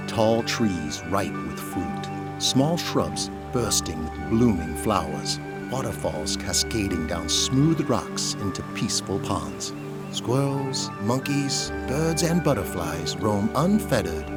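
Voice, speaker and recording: A man reading scripted, dramatic narration for an audio drama. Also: deep voice. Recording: loud music behind the voice.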